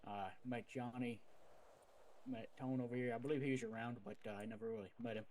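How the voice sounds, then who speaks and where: A man's voice: medium pitch, steady tone, fast, darkish tone. Grumbling, foul-mouthed mobster man over background noise. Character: foul-mouthed mafia soldier back from abroad. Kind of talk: improvised gangster role-play, casual chat. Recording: clear background ambient noise.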